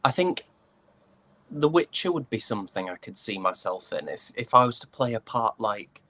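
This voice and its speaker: A man's voice: on the low side, lively intonation, moderate pace, pushed and bright. A man with a British accent chatting casually as an interview guest, hesitant and jokingly self-deprecating. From United Kingdom.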